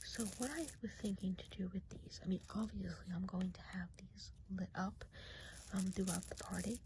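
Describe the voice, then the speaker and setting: Softly whispering woman as a friendly woodland witch, noisy recording. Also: mid-range voice, close to an everyday voice. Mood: gentle, chatty. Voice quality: soft, breathy whisper. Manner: gentle, unhurried, chatty and hesitant. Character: friendly, chatty Christmas witch of the woods. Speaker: ASMR role-play host. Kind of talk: whispered ASMR role play.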